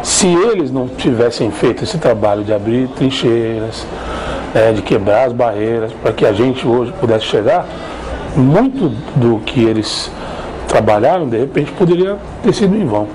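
A man's voice: mid-range voice, expressive, moderate pace, a little mellow, slight background noise, poor audio. A man, a fellow musician, speaking emphatically and admiringly in a documentary interview.

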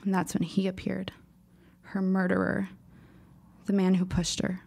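A woman's voice: mid-range voice, monotone, measured pace, creaky, dark tone. An actress playing a precocious fifteen-year-old girl in an audio drama, speaking in formal, dramatic phrases. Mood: shaken, grave. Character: precocious, earnest fifteen-year-old girl writer, shaken but insistent.

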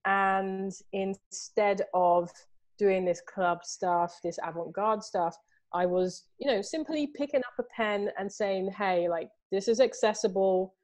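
A woman's voice: medium pitch, a little slow. A woman, talking animatedly as an artist guest over a video call from home, with plenty of "you know"s. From Southeast London, England. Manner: animated, gestures a lot, many fillers like "you know". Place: home room with books and artwork behind. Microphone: video call, earphones.

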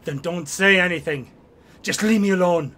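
A man voicing a grief-stricken, bitter widowed father with halting, strained delivery in a comic dub. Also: sits high, a bit bright. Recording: noisy ambience under the voice.

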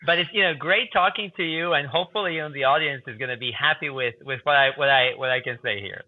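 A man's voice: mid-range voice, quick pace, dry recording. A man in his thirties with a Croatian accent, a guest researcher answering interview questions in a chatty way with many fillers and repeats, over a video call from a room with a whiteboard. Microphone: video call earbuds. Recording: tinny phone-like call audio, dry.

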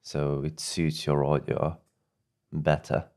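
A man narrating a software tutorial off the cuff, halting and often repeating words. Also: extremely low pitch, level tone. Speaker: audio editing tutorial presenter.

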